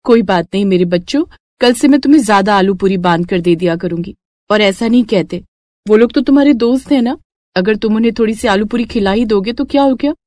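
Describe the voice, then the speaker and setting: A woman voicing a gentle, caring mother who runs a food cart in a story. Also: medium pitch, a little fast, no room sound, studio quality, close to an everyday voice.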